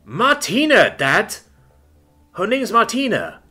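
A man voicing a huge, boisterous young man who talks loudly and eagerly and jokes crudely. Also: medium pitch, big pitch swings, moderate pace, soft background music. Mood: excited. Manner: loud, eager, crude joking.

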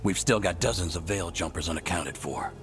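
An actor playing a blunt, sardonic veteran expert in ancient magic in a video game cutscene. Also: male voice, low voice, a little fast, fairly bright tone, soft background music. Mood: gruff.

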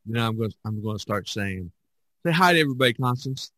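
A man hosting a podcast, talking casually in a halting, meandering way. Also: medium pitch, expressive, a bit bright, no echo. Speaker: podcast host.

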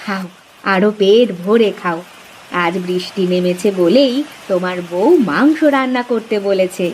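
A woman's voice: medium pitch, unhurried, slight background noise, close to an everyday voice, low-quality recording. A woman voicing a fussing, doting older village mother-in-law in a cartoon, with a coaxing way of talking. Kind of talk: cartoon character acting.

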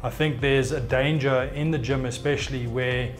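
A man's voice: mid-range voice, fairly even intonation, normal speed. A man in his thirties, a fitness coach, explaining his work in an interview to camera. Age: 30s. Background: background music.

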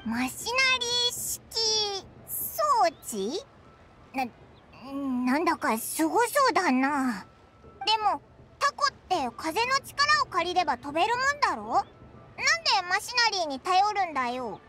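An actress playing a tiny, curious fairy companion in an anime game, childlike, blunt and squeaky. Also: female voice, very animated, unhurried, over loud music, heavily acted. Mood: puzzled, curious. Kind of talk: anime video game voice acting.

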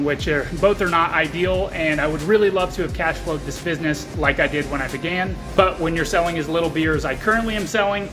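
Higher-voiced American man over music. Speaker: small brewery owner and vlogger. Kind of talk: explanatory vlog to camera. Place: small taproom bar with metal walls, indoors. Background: clear background music.

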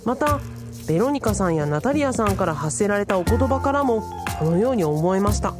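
A woman's voice: medium pitch, lively intonation, fairly quick, over music, close to an everyday voice. A woman playing an earnest young male part-timer in a radio drama, telling his tale with pompous, very polite theatricality. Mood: grandly formal. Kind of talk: radio drama, formal old-fashioned storytelling.

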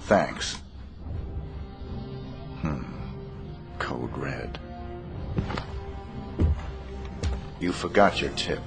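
A menacing hired killer from a video game. His voice is extremely low and crackles with gravel. He speaks in terse, deadpan phrases with tight control, over prominent music. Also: very slow, exaggerated voice. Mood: dry, businesslike. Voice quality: deep, gravelly. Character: cold, laconic, menacing male hired assassin. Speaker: hired assassin. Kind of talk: video game cutscene acting.

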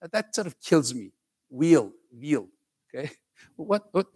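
A man's voice: on the high side, sing-song, a little slow, darkish tone, husky. An older man from Turkey with a slight Turkish accent, lecturing students on stage in a conversational way, often checking in with "okay?" and joking with the audience. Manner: conversational, keeps asking "okay?", jokes with the crowd. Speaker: professor giving a talk to students. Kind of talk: lively lecture to a school audience. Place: large auditorium stage.

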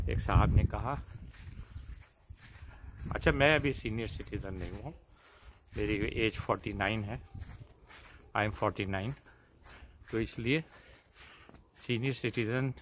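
Older male vlogger, very slow casual delivery, phone held outdoors with faint background noise. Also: medium pitch, fairly bright tone. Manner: leisurely, pausing, addressing viewers directly. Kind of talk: casual chat to camera. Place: outdoors in a suburban backyard. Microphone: phone at arm's length.

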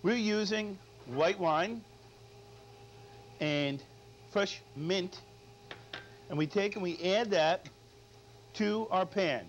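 A man's voice: high-pitched, extremely slow, pauses often. A middle-aged male chef giving an unscripted cooking demonstration, often checking in with short tag questions. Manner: frequent tag questions "all right?". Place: studio kitchen. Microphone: lapel mic. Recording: dull lo-fi audio, background noise.

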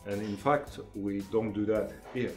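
Man with halting speech. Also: lowish voice, fairly even intonation, a little mellow, soft background music. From France. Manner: halting, frequent uhs, searching for English words. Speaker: company engineer guiding a tour. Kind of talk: explaining to visitors. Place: indoor factory workshop. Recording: roomy echoing workshop.

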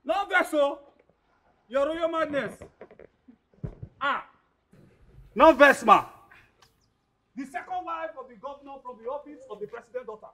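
An actor with a Nigerian accent playing a brash, mocking, scheming gatekeeper in a comic drama, loud and blustering. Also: male voice, very high-pitched, expressive, unhurried, long pauses, exaggerated voice, rough recording. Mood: mocking outrage. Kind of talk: comic screen drama acting in Nigerian Pidgin.

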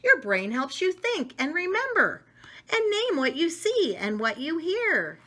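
A middle-aged woman reading a picture book aloud to children, expressive and enthusiastic, with chatty asides to her listeners. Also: very high voice, a little slow. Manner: enthusiastic, expressive, talks directly to young listeners. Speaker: read-aloud storyteller. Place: small domestic room indoors.

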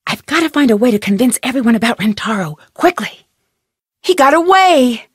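A woman's voice: fairly high pitch, animated, normal speed, breathy edge, dry recording, clean studio sound. An actress playing an inquisitive, plucky young female detective in a video game, earnest and questioning. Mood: confrontational, determined. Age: young adult.

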